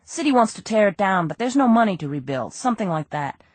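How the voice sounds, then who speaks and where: A woman's voice: mid-range voice, very animated, fast talker, dry recording, pitched above the performer's own voice. Woman doing a whiny small-time crook. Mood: whiny pleading. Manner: whining, pleading, rough grammar. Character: petty male crook, whiny and nervous.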